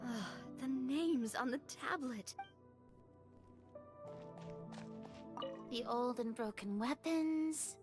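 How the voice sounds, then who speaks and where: A woman voicing an earnest young adventurer in a video game, pondering aloud in a deliberate, drawn-out way. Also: high-pitched, over music. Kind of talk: English video game voice acting.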